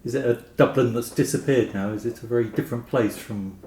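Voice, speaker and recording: A man with a medium-pitched voice asks questions as an interviewer in a halting, conversational manner. The room is noticeably echoey, with slight noise around him. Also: a little mellow. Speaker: podcast interviewer.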